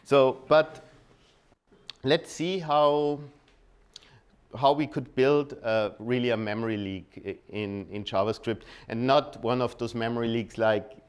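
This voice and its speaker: A man with an Austrian accent and German as his native language, giving an informal technical talk, often halting and rephrasing mid-sentence. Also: medium pitch, fairly even intonation, clean tone. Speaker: conference speaker. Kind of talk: technical presentation at a meetup.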